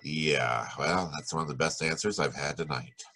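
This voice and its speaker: An actor playing a hardboiled, wisecracking American private detective in a 1940s-style radio noir. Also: male voice, very deep voice, moderate pace. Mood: pained, wry.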